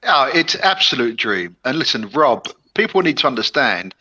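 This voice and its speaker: A man's voice: mid-range voice, moderate pace, highs cut off. A man co-hosting a football show, enthusiastically praising a guest. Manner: enthusiastic, animated. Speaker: co-host.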